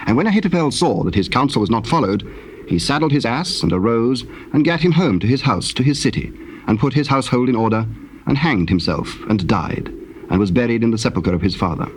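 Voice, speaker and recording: Measured male scripture narrator, mid-pitched. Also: lively intonation. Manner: measured, formal. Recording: hissy old cassette recording.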